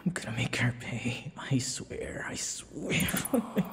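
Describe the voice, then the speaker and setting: A man playing an obsessive, menacing, grieving vampire in an ASMR roleplay, breathy and halting, repeating his words. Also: medium pitch, very animated, big-room sound. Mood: vengeful. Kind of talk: ASMR roleplay audio drama.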